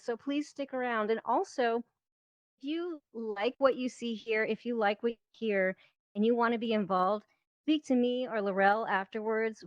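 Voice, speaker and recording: A woman's voice: fairly high pitch, no room sound. A woman leading a panel, introducing it informally in an eager, welcoming manner over a lo-fi online voice chat. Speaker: team leader hosting a panel.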